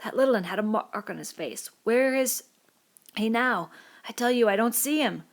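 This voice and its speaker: A woman's voice: fairly high pitch, animated, brisk, fairly bright tone, voice pushed up. Woman voicing a fussy, indignant schoolboy. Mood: frightened. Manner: indignant, lecturing, breathless, working-class boy's dialect. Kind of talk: audiobook character reading.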